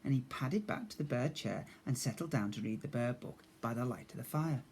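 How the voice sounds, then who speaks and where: A man narrating a children's storybook like a teacher, in a measured, expressive way. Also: mid-range voice, a little hiss or hum.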